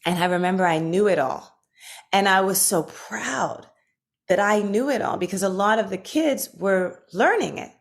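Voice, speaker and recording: A woman guest on a podcast from Washington, D.C., telling childhood stories in a relaxed, animated way with lots of fillers. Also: medium pitch, moderate pace, creaky. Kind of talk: casual conversation.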